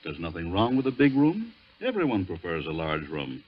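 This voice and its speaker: Deep, husky, evasive guardian. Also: male voice, wildly swooping pitch, moderate pace, heavily acted. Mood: condescending. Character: evasive older male guardian, soothing yet needling. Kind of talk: 1940s radio drama acting. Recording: dull vintage radio recording.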